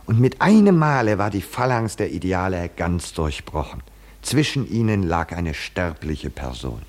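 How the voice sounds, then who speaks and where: A man in a 1950s German radio drama, playing an impassioned, philosophical poet-seer who speaks in fervent rhetoric with deliberate dramatic pauses. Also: low voice, sing-song. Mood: absorbed storytelling. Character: impassioned philosophical male poet-seer awaiting his blindness.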